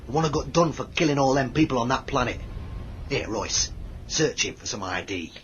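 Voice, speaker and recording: An actor playing a rough, cowardly male street thug and bounty hunter with slangy speech in a science fiction audio drama. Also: medium pitch, lively intonation, normal speed, fairly bright tone, dull audio, slight background noise, natural voice. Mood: bullying swagger.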